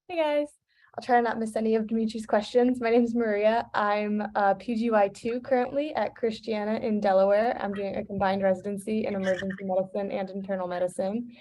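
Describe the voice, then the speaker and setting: A woman's voice: mid-range voice, steady tone, brisk, warm tone. Friendly young woman, casual tone. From Maryland, USA. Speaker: emergency and internal medicine resident. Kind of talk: casual self-introduction.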